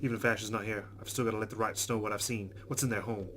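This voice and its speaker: A man's voice: lowish voice, steady tone, racing, background noise. A man hosting a let's play, reading visual novel narration aloud.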